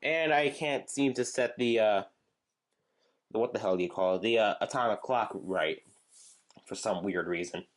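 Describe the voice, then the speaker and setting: A young man rambling casually as he shows off his gadgets, with frequent fillers, halting restarts and side remarks. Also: fairly low pitch. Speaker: channel host showing weather radios. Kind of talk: casual show-and-tell chat.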